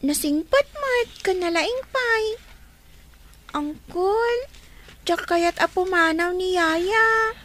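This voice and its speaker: A woman playing a high, slow-spoken pleading niece. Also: expressive, a bit bright, full character voice. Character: young woman pleading with her uncle. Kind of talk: radio drama acting.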